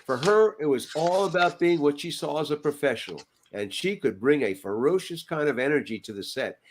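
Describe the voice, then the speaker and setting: A man's voice: a little high, lively intonation, a little fast, a little hiss or hum. An older man co-hosting a podcast, reading aloud and chatting casually over a headset at home. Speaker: podcast co-host. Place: home room, on a video call.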